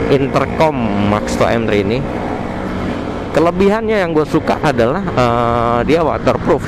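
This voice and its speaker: A man with a colloquial Jakarta Indonesian accent gives a casual, slangy gear review into a helmet headset mic while riding outdoors. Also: medium pitch. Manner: informal, slangy. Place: outdoors on a motorbike at night. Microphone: modified headset mic inside helmet. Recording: loud road and engine noise, helmet mic. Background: traffic and vehicle noise.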